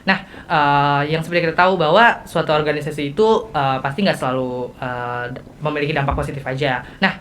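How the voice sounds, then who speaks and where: A woman's voice: low-pitched, steady tone, a little fast, a bit bright. A teenage girl hosts a casual chat. There is faint noise behind her voice. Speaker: student podcast host.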